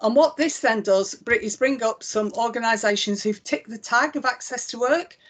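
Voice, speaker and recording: A woman's voice: fairly high pitch, moderate pace. A woman with a Lancashire accent, a chief executive giving a live walkthrough of a website and explaining each click in a conversational way with frequent ums. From Northwest England. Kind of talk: live software demonstration.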